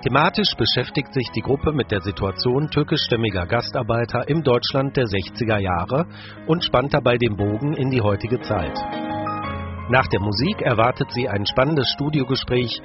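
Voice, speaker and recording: A male announcer reading a formal script at a measured pace. Music plays clearly underneath, and the sound is dull and lo-fi. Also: mid-range voice, animated. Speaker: radio announcer. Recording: dull lo-fi radio recording.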